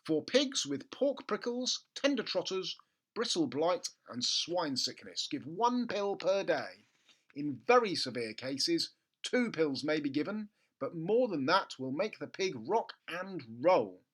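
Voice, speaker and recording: A man voicing a pompous storybook medicine label, declaiming it slowly and formally. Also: a little high, big pitch swings, a bit bright, pitched above the performer's own voice, exaggerated voice. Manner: slow, deliberate, declaiming.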